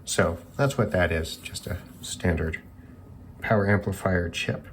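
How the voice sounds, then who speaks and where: A man's voice: low voice, measured pace, a little hiss or hum. A man giving unscripted commentary as a hobbyist taking electronics apart, musing and tentative as he thinks aloud. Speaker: hobbyist reviewer of radios.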